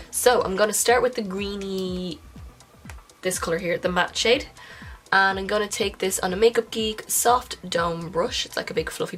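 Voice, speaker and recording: A young woman, nasal and congested, chatting casually through a makeup tutorial, now and then dropping into a soft whisper. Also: medium pitch. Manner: chatty, self-correcting, breaks into soft whispered asides. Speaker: makeup vlogger. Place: indoors at home, filming a close-up makeup tutorial.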